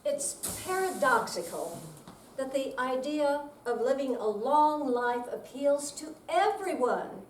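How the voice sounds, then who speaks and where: A woman's voice: sits high, animated, takes it slowly. An older woman reading a scripted line aloud on stage. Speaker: amateur readers theater performer. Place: senior center room, live reading. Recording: echoey room, distant mic, faint noise.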